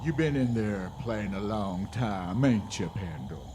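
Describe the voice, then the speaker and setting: Man as swaggering cowboy bully, animated. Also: on the low side, measured pace, background noise. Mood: menacing. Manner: taunting, folksy western grammar. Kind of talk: western radio drama acting.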